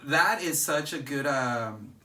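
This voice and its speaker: Bright-voiced man, slightly distant mic. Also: medium pitch, lively intonation, takes it slowly. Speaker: father hosting a family video. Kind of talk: casual family chat. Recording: distant mic, faint background noise.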